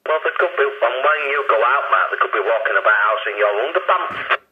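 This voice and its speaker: Animated man on CB radio. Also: mid-range voice, a little fast. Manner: questioning, matey. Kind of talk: casual radio chat. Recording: tinny CB radio, faint noise.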